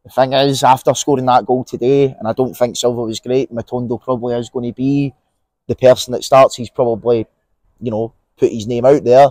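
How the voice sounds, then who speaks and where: A man's voice: mid-range voice, steady tone, fairly quick, a little mellow, dry recording, rough recording. A young Scottish man giving casual, opinionated football talk outdoors in an empty stadium, on a lapel mic, with many fillers and restarts. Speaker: podcast co-host.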